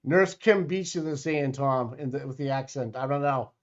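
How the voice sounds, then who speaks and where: A man from the Boston area co-hosting a casual live stream, talking in a rambling, halting way and swearing casually. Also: mid-range voice, lo-fi sound. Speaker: co-host.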